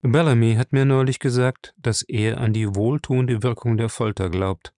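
A man voicing a grim, brutal captain, curt, gruff and threatening, in an audiobook. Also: medium pitch, steady tone, moderate pace, warm tone, no room sound, studio quality, lightly acted. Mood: menacing. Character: grim, brutal captain, a menacing male interrogator. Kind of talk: audiobook character acting.

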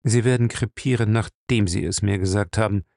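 A man voicing a grim, brutal captain, curt, gruff and threatening, in an audiobook. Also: on the low side, fairly even intonation, fairly quick, darkish tone, no room sound, clean studio sound. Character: grim, brutal captain, a menacing male interrogator. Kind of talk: audiobook character acting.

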